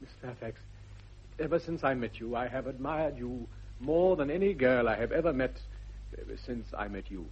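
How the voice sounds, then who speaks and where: An actor playing an earnest, awkward gentleman suitor in a 1960s radio comedy, formal and hesitant. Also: medium pitch, expressive, normal speed, slightly rough. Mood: nervous, smitten. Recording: noisy, dull vintage radio recording.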